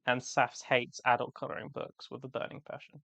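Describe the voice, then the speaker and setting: Low-voiced woman, dry interjections. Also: level tone, fairly quick, creaky, fairly bright tone, husky, no room sound. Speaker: livestream co-host. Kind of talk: casual live chat.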